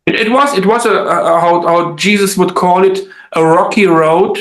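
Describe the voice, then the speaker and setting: A German-native man speaks in a casual interview, his voice sitting a little high. He often stumbles, repeats words and restarts his sentences. The sound is roomy, with an echo. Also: moderate pace, fairly bright tone. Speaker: translator, interview guest. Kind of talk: casual conversation.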